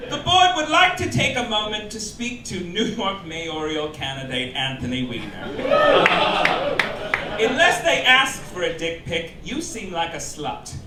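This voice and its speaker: A man's voice: high-pitched, sing-song, measured pace. A man performing a scripted satirical monologue on a cabaret stage, delivering mock-formal announcements in an arch, campy manner with emphatic punchlines. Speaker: comedian presiding as chairman of a mock club. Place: live cabaret club stage before an audience. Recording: roomy live club recording, distant, loud noise. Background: audience laughter, loud room noise.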